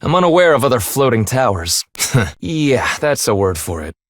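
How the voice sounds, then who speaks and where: A man's voice: medium pitch, sing-song, moderate pace, no room sound, studio quality, close to an everyday voice. A man voicing a stern, blunt young half-human leader in a video game, speaking in a clipped, formal, commanding way. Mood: businesslike. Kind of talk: English video game voice acting.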